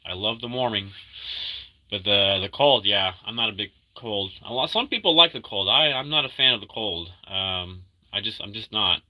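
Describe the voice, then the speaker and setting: A man in his thirties, sick, chatting casually and halting often while reading viewers' comments. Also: on the low side, sharp tone, low-quality recording. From Argentina. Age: 30s. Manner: rambling, halting, full of fillers and restarts; upbeat when greeting viewers. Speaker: livestreamer reading chat. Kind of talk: casual live-stream chat.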